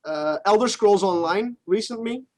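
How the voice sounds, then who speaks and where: A man's voice: high voice, moderate pace. A man co-hosting a gaming show, chatting casually and giving blunt opinions with some casual swearing.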